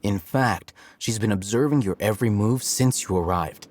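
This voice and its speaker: A man voicing a calm, aloof young male scholar in a video game, measured and dry. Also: lowish voice, a little hiss or hum, lightly acted. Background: music.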